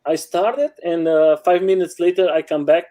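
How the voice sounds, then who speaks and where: Guy chatting in broken english, mellow low-key tone, lots of uh's, a bit high. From Portugal. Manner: halting, many fillers, laughs while telling stories. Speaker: guest rider. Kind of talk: casual chat in non-native English. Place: home.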